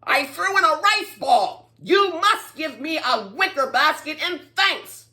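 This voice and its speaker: A storyteller voicing a mean, greedy old man from a folktale in a loud, demanding, exclamatory way. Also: sits high, lively intonation, unhurried, voice pushed up, full character voice.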